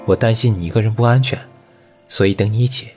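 A young man acting a hot-tempered but caring high school boy in a Chinese audio comic drama. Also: steady tone, fast, darkish tone, lightly acted. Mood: concerned. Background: background music.

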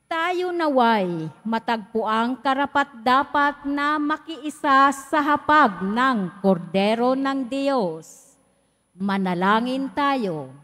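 Woman lector, high voice, reads real slow, church echo. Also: lively intonation, a bit bright. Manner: slow, measured reading with pauses. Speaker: lector reading the intercessions. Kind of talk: reading the prayers of the faithful. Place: large church. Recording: echoey church hall.

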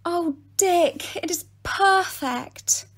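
A woman's voice: very high voice, extremely slow, fairly bright tone, exaggerated voice. A woman playing a sweet, lovestruck young heroine in a radio pantomime, earnest and girlish. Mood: adoring.

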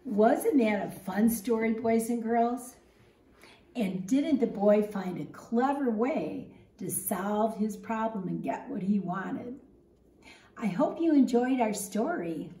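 A woman's voice: fairly high pitch, lively intonation, slow, dark tone. A woman in her fifties reading a story aloud to children, with dramatic emphasis and questions to the listeners. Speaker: teacher reading a picture book to children. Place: large open living room at home, indoors. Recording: roomy home echo.

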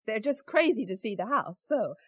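An actress playing a resentful wife in a radio drama, cutting and drily sarcastic. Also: female voice, on the high side, sing-song, normal speed, dry recording.

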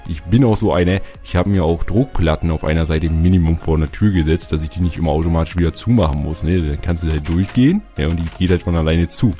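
A man with a cold and a slightly hoarse voice, chatting casually as he gives a tour of his build and keeps adding little filler tags. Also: low voice, fast, few pauses, mellow, faint music behind. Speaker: Minecraft YouTuber. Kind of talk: casual gameplay tour narration.

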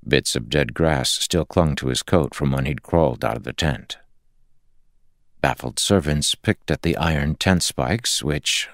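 A man's voice: rumbling low, gravelly, no echo, clean studio sound. A man reading fantasy fiction as an audiobook narrator, in a scripted storytelling style.

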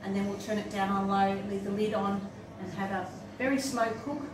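A woman's voice: mid-range voice, fairly even intonation, fairly quick. A middle-aged woman, giving a casual, step-by-step cooking demonstration while busy at the stove in a home kitchen. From Australia. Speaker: home cook presenting a recipe. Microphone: camera mic a few steps away. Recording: roomy, distant, noisy kitchen camera sound. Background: pots and dishes clattering, sizzling.

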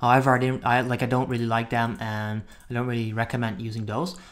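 A man hosts a relaxed software walkthrough for online viewers. His voice sits at an average pitch, and he talks informally, as if chatting to the people watching. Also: fast talker. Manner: informal, addresses viewers as guys. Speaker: software reviewer and tutorial host. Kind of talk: casual screen-recording walkthrough.

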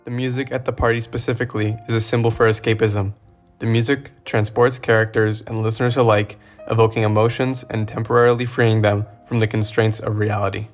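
A man with a lowish voice narrates a literary video essay in a very flat, even tone. His scripted delivery stays explanatory throughout. Faint music plays beneath him. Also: a little fast.

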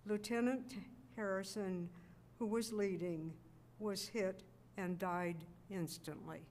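A woman's voice: mid-range voice, steady tone, slow, darkish tone. An older woman reading an official report aloud at a podium microphone. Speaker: readers theater reader. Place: museum gallery, indoors. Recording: clear background room noise.

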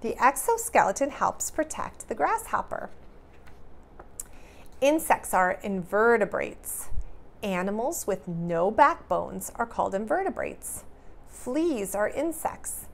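A woman speaks as a preschool teacher to small children, reading aloud and explaining in simple terms. Her pace is slow and careful, with a creaky edge to her mid-pitched voice. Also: big pitch swings. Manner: clear simple questions, careful teacherly delivery. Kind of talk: read-aloud lesson for young children.

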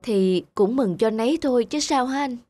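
Diễn viên nữ vào vai người vợ trẻ dịu dàng, giọng cao. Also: female voice, a little high, normal speed, faint music behind, natural voice. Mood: reassuring. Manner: gentle, reassuring. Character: gentle, optimistic young wife, patient and content. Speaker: voice actor. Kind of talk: cartoon dialogue dub.

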